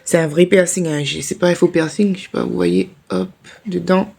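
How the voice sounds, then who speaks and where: A woman's voice: lowish voice, sing-song, normal speed, dark tone, slightly rough. A woman with a sore throat, chatting casually to camera in a rambling vlog with many restarts. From Réunion. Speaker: vlogger.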